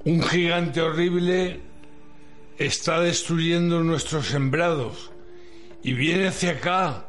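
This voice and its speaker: A man's voice: a little high, slow pace, fairly bright tone, soft background music. A man playing a frightened young tribesman raising the alarm in an amateur radio drama.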